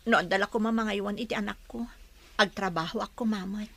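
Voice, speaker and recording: A woman's voice: medium pitch, steady tone, fast talker, creaky, a bit bright, dry recording. A woman with an Ilocano accent playing a weary, pleading young mother who works as household help, in a radio-style drama. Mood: pleading, hurt.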